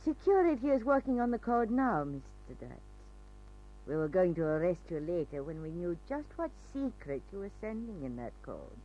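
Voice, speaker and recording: Low-voiced foreign undercover agent. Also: female voice, big pitch swings, normal speed, dark tone. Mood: composed, defiant. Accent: slight foreign. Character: composed, glamorous female undercover security agent from Russia. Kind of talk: old-time radio thriller acting. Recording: muffled old radio recording, music behind.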